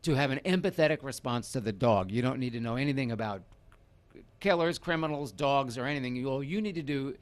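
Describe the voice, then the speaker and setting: A man explaining his thoughts conversationally as an interview guest, halting and rephrasing as he goes. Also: medium pitch, lively intonation. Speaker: interview guest, audio producer and director.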